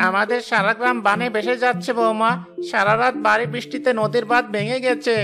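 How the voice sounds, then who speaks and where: A frightened older villager begging the headman for help, played by a woman. His voice is gravelly and flat. Music sounds clearly behind him in this cartoon. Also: a little high, fairly quick. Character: elderly male villager, frightened, pleading.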